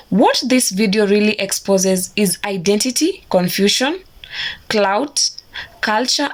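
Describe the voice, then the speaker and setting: Measured woman narrating a reaction. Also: medium pitch. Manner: measured, explanatory. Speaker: reaction channel host. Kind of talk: scripted narration.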